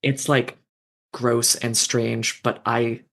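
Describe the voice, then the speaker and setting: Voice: mid-range voice, flat delivery, slow, gravelly, a bit bright. A fanfiction author in a casual podcast conversation, thoughtful and meandering, with frequent fillers and restarts.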